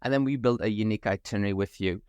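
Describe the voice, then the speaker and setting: A middle-aged man from Northern Ireland with a softened Belfast accent, speaking as a guest in a podcast interview, enthusiastic and fluent with a few fillers. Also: lowish voice, racing, clear, resonant tone, no echo. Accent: Northern Irish, softened by years abroad. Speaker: travel company managing director, guest.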